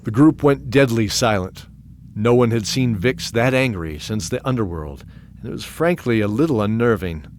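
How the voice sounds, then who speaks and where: A man narrating a fantasy audio drama as its host, in a steady storytelling voice. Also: mid-range voice, normal speed, slight background noise. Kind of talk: scripted narration. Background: music bed.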